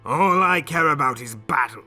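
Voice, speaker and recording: A proud alien warrior prince in a dramatic audio drama, defiant and bitter. The voice is pitched fairly high, tense and sharp, with arrogant, declamatory delivery that swings widely. Faint music sits underneath. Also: male voice, expressive, moderate pace. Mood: boastful.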